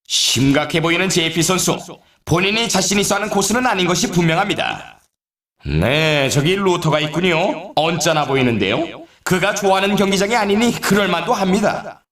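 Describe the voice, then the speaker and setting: A man's voice: high voice, very animated, moderate pace, a bit bright. A man playing an upbeat, showy sports announcer in a video game, with polished, scripted commentary delivery. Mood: excited. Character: male snowboard race announcer, upbeat and showy. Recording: echoey, distant-sounding game audio.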